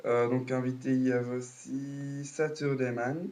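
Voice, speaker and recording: A man's voice: mid-range voice, level tone, moderate pace, a little mellow. A man with a cold giving a casual, hesitant spoken recap full of fillers and restarts. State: has a cold, coughing. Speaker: video blogger.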